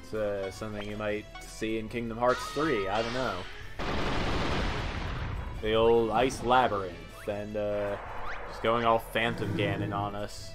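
A man's voice: on the low side, level tone, unhurried. A man giving casual, rambling gameplay commentary with hesitant asides, in a laid-back way. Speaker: video game player and commentator. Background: video game music.

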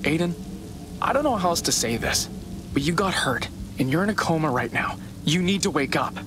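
A man's voice: on the high side, animated, brisk, natural voice. A male actor playing an earnest, frustrated young man pleading insistently with family in a video game drama. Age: young adult. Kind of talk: cinematic game drama acting. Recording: faint ambient noise behind the voice.

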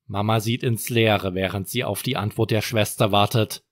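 A man's voice: fairly low pitch, steady tone, normal speed, no room sound, clean studio sound. A man narrating a scripted bedtime story for children as a storyteller.

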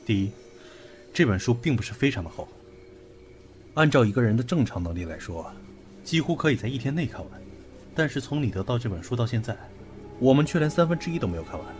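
A man acting in a Chinese audio drama as a brusque, analytical young investigator who speaks in a deliberate, commanding way. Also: mid-range voice, sing-song, slight background noise, natural voice. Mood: grave, analytical.

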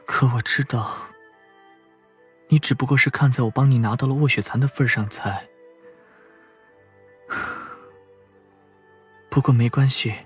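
An actor playing a wounded, weary young man in an audio drama, breathy and sighing. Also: medium pitch, steady tone, measured pace, pauses often, a bit bright, soft background music, lightly acted. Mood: fading tender.

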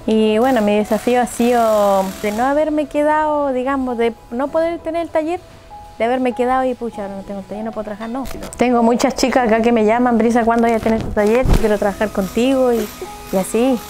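Chatty Argentine woman, higher voice. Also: a little fast, over music. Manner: chatty, laughs easily, acts out quotes. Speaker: mechanic and business owner. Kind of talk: casual interview. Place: open shed outdoors at her home.

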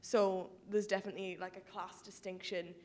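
Young woman in the audience, monotone-ish, lots of likes and ums. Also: mid-range voice, moderate pace. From northern England. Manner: casual, uses "like" and "um" a lot. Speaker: audience member.

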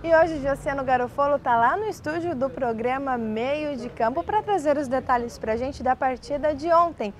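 A woman's voice: sits high, moderate pace, few pauses, a little hiss or hum. A young woman presenting a local TV newscast from a studio, reading items with a few self-corrections. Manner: reads from notes, small restarts. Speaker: news anchor. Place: indoor studio set. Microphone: lapel mic.

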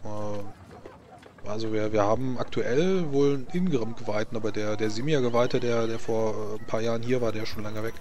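A man's voice: fairly low pitch, normal speed, darkish tone, slight background noise, close to an everyday voice. A man playing a flustered, officious scribe in a tabletop game, talking hesitantly and repeating himself. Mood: helpful, fussy. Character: flustered, officious male chancellery scribe, secretive and chatty.

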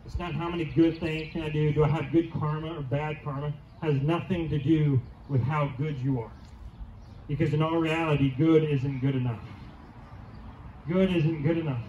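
A middle-aged pastor giving a conversational outdoor sermon, asking the crowd questions and repeating key lines, heard through a handheld mic and PA. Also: male voice, on the high side, darkish tone, highs cut off, slight background noise. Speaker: teaching pastor. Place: church lawn outdoors, congregation under a tent. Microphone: handheld mic through PA speakers, filmed from afar. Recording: distant, roomy outdoor PA sound.

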